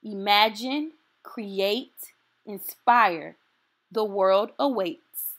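A woman reading a children's picture book aloud as a storytime host, in an expressive, deliberate and encouraging way. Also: medium pitch.

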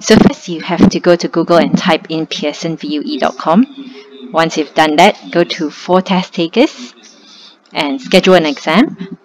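A young woman, tutoring in a relaxed, conversational step-by-step screen walkthrough. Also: deep voice, normal speed. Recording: slightly muffled with faint hiss.

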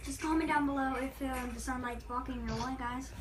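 A woman's voice: sits high, steady tone, brisk, very noisy. A woman casually commenting as she hosts a reaction video.

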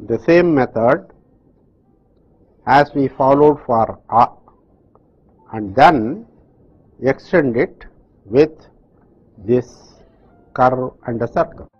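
An older man from South India with a South Indian accent, teaching in slow, deliberate steps in front of a chalkboard. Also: medium pitch, breathy edge, dull audio. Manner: slow, deliberate explanations with pauses. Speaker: language teacher. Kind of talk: slow classroom instruction. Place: classroom with chalkboard.

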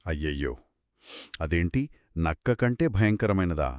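A man telling a children's story in a conversational, expressive way, with exclamations and short questions. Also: low voice, measured pace, no echo, studio quality.

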